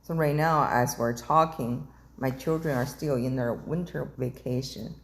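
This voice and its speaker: A woman's voice: a bass voice, unhurried, a little hiss or hum. A woman interviewer chatting casually with her guest, asking questions and sharing remarks. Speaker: interviewer, channel host.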